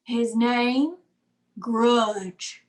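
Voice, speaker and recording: Woman playing a high-pitched Southern brownie elf. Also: drawn-out words. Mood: chatty. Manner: folksy, chatty. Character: folksy little male Christmas brownie elf. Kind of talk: 1950s radio comedy acting.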